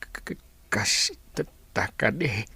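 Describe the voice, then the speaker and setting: A man's voice: lowish voice, animated, slow, gravelly, sharp tone, breathy edge, exaggerated voice. An actor playing an ailing, weary father in a radio drama, stammering through broken words with an Ilocano accent. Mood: weary, tender.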